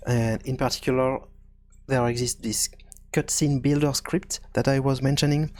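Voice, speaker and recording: A man presenting an unscripted programming tutorial, hesitant and halting as he thinks aloud. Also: medium pitch, steady tone, darkish tone.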